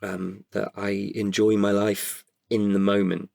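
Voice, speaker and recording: A man's voice: fairly low pitch, little pitch movement, a little slow, vocal fry, darkish tone, no echo, studio quality. A British man talking reflectively in an interview, candid and thoughtful, often hesitant as he searches for words. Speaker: podcast guest, performer.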